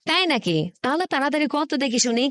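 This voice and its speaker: A woman's voice: high-pitched, fast talker, a bit bright, dry recording, studio quality. A woman playing a nagging, sceptical village housewife in a comic cartoon, questioning and exclaiming, with a northern Bangladeshi Bengali accent.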